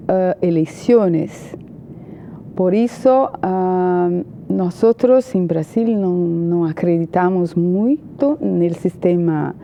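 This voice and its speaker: A woman's voice: lowish voice, warm tone, slight background noise. A Brazilian woman with a Brazilian Portuguese accent, a journalist and political analyst, answering interview questions in hesitant Spanish full of "eh" fillers.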